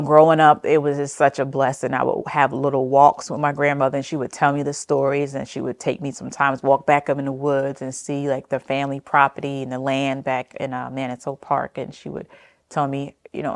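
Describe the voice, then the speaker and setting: Low-voiced New woman, unhurried. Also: dry recording, studio quality. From New Jersey. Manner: gentle and unhurried, with frequent "um" and soft trailing phrases. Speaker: oral history interviewee. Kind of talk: reflective personal storytelling.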